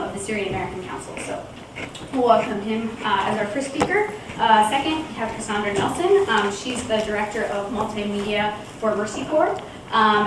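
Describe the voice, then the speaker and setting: A woman hosting an event, introducing speakers informally in a hesitant, loosely phrased way. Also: mid-range voice. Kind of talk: informal spoken introduction at a community talk. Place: indoor room at a public talk. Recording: very echoey room, distant mic, loud noise.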